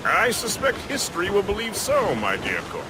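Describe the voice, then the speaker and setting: An actor playing a loyal, jovial first mate with a florid, wry, theatrical way of talking in a period game. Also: male voice, sits high, wildly swooping pitch, normal speed. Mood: genial. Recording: waves and ship noise behind voice.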